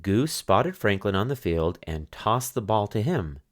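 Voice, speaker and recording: A man reading a children's picture book aloud as narrator, in expressive storytelling style. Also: lowish voice, unhurried, some chatter behind, slight background noise.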